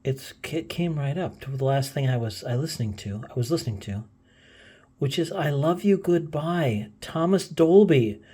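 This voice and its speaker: A man's voice: fairly high pitch, expressive, normal speed, dark tone, slightly rough. A man hosting a casual, unscripted gadget demo, hesitating and thinking aloud as he fiddles. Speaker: gadget video host.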